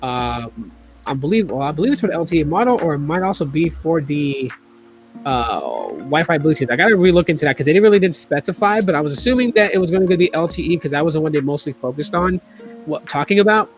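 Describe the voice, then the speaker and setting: A man's voice: fairly high pitch, expressive, fast talker, soft background music. A man hosting a casual tech video, talking in a rambling way with many fillers and restarts while he is losing his voice.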